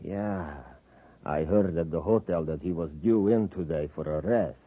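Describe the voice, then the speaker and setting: Muffled 1950s radio drama, rough henchman, low pitch, simple, obedient, menacing. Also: normal speed, dry recording. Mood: wary. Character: henchman thug, deferential but ready for violence. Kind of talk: 1950s radio serial acting.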